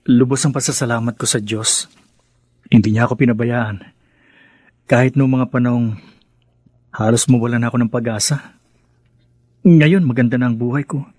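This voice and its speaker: A man's voice: medium pitch, fairly even intonation, darkish tone, no echo, clean studio sound, close to an everyday voice. An actor with a Filipino accent playing an earnest young man risen from poverty, speaking in a heartfelt, sentimental radio drama style. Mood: grateful, moved. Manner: slow, heartfelt, sentimental delivery.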